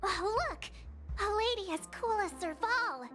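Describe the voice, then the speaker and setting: A woman voicing a chirpy, excitable young girlish companion in a video game, eager and childlike. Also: extremely high pitch, measured pace, faint music behind, heavily acted. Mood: excited. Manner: eager, wide-eyed, childlike. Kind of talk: video game character dialogue.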